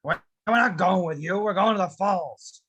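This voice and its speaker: Guy as a cranky old man, highish raspy voice, sharp and forceful, stubborn cartoon grump, curt. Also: moderate pace, no room sound, exaggerated voice. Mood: firm. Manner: curt, scolding. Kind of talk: amateur table read of an animated film script.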